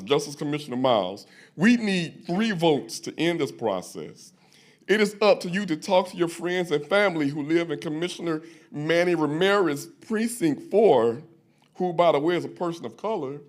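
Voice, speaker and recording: Higher-pitched man making an emphatic public speech. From Louisiana, US South. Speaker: public commenter, chapter president of a fraternity. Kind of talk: prepared public speech, oratory. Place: public hearing room in a courthouse.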